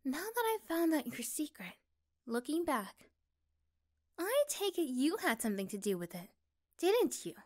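A woman playing an affectionate, reassuring young girlfriend in a soft, gentle ASMR roleplay. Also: high voice, sing-song, unhurried, long pauses. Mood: tender, grateful. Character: affectionate, reassuring young girlfriend chatting intimately to her partner.

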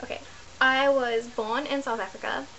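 A woman's voice: fairly high pitch, fairly even intonation, moderate pace, sharp tone, muffled recording, a little hiss or hum. A young woman from New Zealand, chatting to camera in a rambling, self-correcting way from her bedroom, recorded on a laptop webcam. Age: young adult. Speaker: vlogger. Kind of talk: casual chat to camera. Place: small bedroom indoors.

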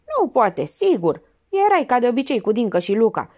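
A woman voicing a sharp, sarcastic older female amateur detective who needles a suspect with pointed, mocking remarks. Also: fairly high pitch, sing-song, muffled recording, pitched above the performer's own voice.

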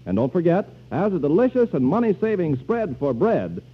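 A man's voice: a little high, very animated, normal speed, breathy edge. A man announcing in the polished style of 1940s American radio. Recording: dull, muffled 1940s radio recording.

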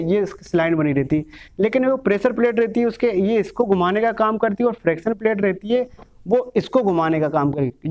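A man in his thirties teaching bike mechanics, explaining step by step while handling engine parts in a small room. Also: sits high. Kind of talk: hands-on workshop lesson. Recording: dull lo-fi sound.

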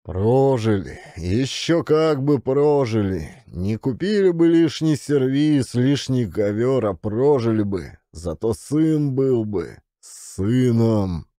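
A man's voice: medium pitch, expressive, takes it slowly, few pauses, mellow, no echo, clean studio sound. A man playing a hot-tempered middle-aged father, a scientist, indignant and emphatic, in a comic radio play. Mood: bitter regret.

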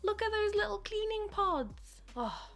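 A woman's voice: very high voice, big pitch swings, a little slow, a bit bright, soft background music. A young woman from England, gushing and enthusiastic as she narrates a vlog and shows off her haul from home. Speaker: vlogger. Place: living room at home, sitting on floor.

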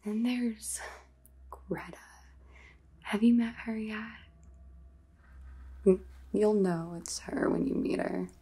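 A woman's voice: mid-range voice, slow, long pauses, warm tone. A young woman playing a snide, modern vampire housemate in a comic ASMR roleplay, with a whispery, dismissive valley-girl drawl. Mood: gossipy, snide. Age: young adult. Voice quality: very breathy, whispery.

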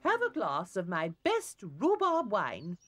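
A performer voicing a brisk, bossy village woman who offers bedtime remedies emphatically in a children's cartoon storybook. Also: mid-range voice, wildly swooping pitch, a bit bright, slight background noise, voice pushed up, exaggerated voice. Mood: knowing, helpful. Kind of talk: slow cartoon storybook character acting.

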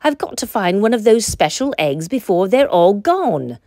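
A woman voicing an excitable, determined turkey who gobbles and grumbles in a children's storybook. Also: medium pitch, normal speed, no echo, studio quality. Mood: grumbling, thwarted. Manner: exclaims in gobbles.

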